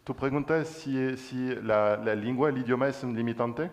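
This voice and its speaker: Halting man presenting. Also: medium pitch. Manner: halting, many restarts, slips into French and English words. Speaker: guest speaker, cloud solutions architect. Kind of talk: university presentation and Q&A.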